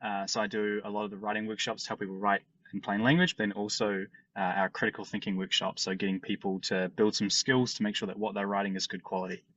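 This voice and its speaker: Male trainer, matter-of-fact, low voice, dull dry call audio. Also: steady tone, fast, few pauses, fairly bright tone, dry recording. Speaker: workshop trainer. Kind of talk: casual self-introduction on a video call. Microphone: video call.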